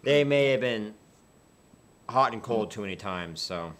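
Relaxed man musing over a beer. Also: on the low side, measured pace. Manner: relaxed, musing, many fillers and restarts. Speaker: beer reviewer co-host. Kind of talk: casual tasting chat. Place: small indoor studio set with dark curtain.

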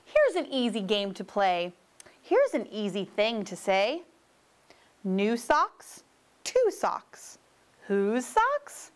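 A woman's voice: mid-range voice, clean tone. A woman voicing a playful, insistent male storybook fox who coaxes with tongue-twisting patter. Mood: coaxing, playful.

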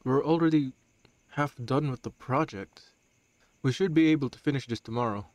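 A man's voice: medium pitch, normal speed, a little mellow, dry recording, lo-fi sound, lightly acted. Man acting a shy, stammering male student. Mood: shy, earnest. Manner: stammering, hesitant, self-deprecating. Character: shy, awkward male high school student, nervous and self-conscious. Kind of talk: amateur audio roleplay acting.

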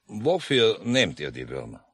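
Very deep-voiced, courteous army officer. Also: male voice, wildly swooping pitch, a little slow, a bit bright, slightly rough, full character voice. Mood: friendly, observant. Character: polite occupying army officer, quiet and friendly. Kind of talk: 1950s radio play acting. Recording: noisy mono 1950s radio recording.

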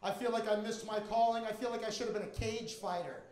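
A man's voice: high voice, quick pace, darkish tone. A middle-aged American man performing a stand-up comedy routine on a club stage into a handheld microphone. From Miami, Florida, United States. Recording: echoey comedy club with audience. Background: live audience.

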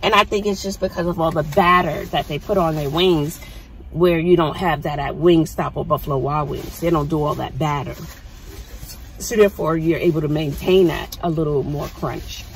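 A woman's voice: sits low, moderate pace, slight background noise, rough recording. A middle-aged woman hosting a casual food review vlog from inside a parked car, chatting, explaining and asking follow-up questions into a dashboard phone camera. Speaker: vlog host, mother. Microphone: phone camera mounted on the dashboard.

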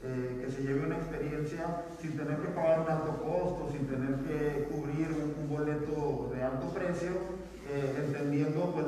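A man's voice: a little high, a little mellow. A Mexican man answering as an event official at a press conference, often ending phrases with tag questions. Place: indoor hall, panel table. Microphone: far camera. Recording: echoey hall from far off, crowd murmur.

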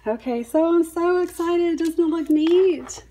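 A woman in her forties, a reseller, chatting casually and excitedly to her phone camera about thrift finds, laughing often. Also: very high voice, a little slow, distant mic. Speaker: reseller vlogger. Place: parked car, later a dim room at home. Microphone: phone camera at arm's length. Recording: phone mic held a bit away, noisy.

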